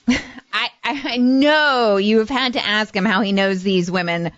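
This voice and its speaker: A woman's voice: medium pitch, a little slow, fairly bright tone, no room sound, muffled recording. A woman co-hosting a radio show in casual, playful chat. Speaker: radio co-host.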